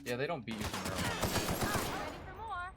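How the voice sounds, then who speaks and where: A man's voice: on the high side, wildly swooping pitch, a little slow, over loud music. A man chatting casually and reciting trivia in a matter-of-fact way. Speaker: gaming companion in chat. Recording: loud game gunfire and noise behind. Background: video game gunfire, clicks.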